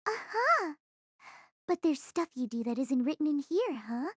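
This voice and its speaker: A very high, childlike voice as a small apprentice mage boy. He is eager, innocent and full of questions, recorded close and dry for an audio drama. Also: very animated, measured pace, a bit bright, no echo, heavily acted. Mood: curious, eager. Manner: wide-eyed, eager, simple childlike phrasing. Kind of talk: audio drama character acting.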